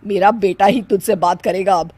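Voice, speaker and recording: A woman's voice: mid-range voice, steady tone, normal speed, a little mellow, no room sound, lightly acted. Woman doing a stern, bossy mother-in-law for a cartoon, mid voice. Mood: scolding. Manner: bossy and scolding.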